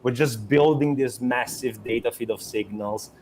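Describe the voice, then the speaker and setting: An Italian-accented young man talks about his startup in a loose, halting way, stopping and restarting his phrases. His voice sits at a middle pitch. A faint hiss of noise runs behind him. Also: a little mellow, rough recording. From Italy. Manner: hesitant, many restarts and fillers. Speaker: startup co-founder. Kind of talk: conversational interview.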